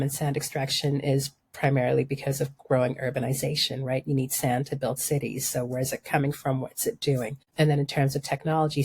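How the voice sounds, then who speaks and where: A woman from Sudan whose first language is Arabic, speaking as a podcast guest in a thoughtful, measured way. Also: a bass voice, a little mellow, husky. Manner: thoughtful, measured, reframes questions. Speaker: guest, environmental journalist. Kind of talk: conversational interview.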